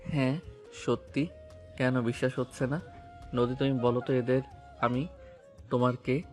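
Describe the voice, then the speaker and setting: A man's voice: mid-range voice, little pitch movement, unhurried, a little mellow, close to an everyday voice. Guy as protective young cop husband, angry and commanding, mid voice, music faintly behind. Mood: firm, challenging. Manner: commanding, confrontational. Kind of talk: audio romance story drama acting.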